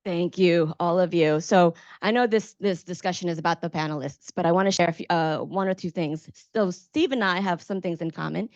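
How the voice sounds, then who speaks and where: A woman's voice: fairly low pitch, brisk, hardly any pauses, darkish tone, no echo, lo-fi sound. An American woman moderating a panel, telling personal stories to the audience in an earnest, emphatic, conversational way. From Maryland, United States.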